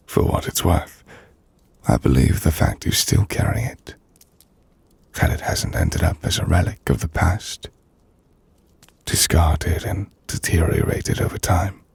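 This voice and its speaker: A man playing a melancholy, gentle half-vampire nobleman in an intimate ASMR roleplay, speaking in a hushed, breathy, formal and reflective manner. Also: huge pitch swings, dry recording, heavily acted. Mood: tender, admiring. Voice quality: low, gravelly, breathy. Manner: hushed, breathy, slow reflective delivery with formal, measured phrasing. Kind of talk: ASMR audio roleplay, intimate dramatic monologue.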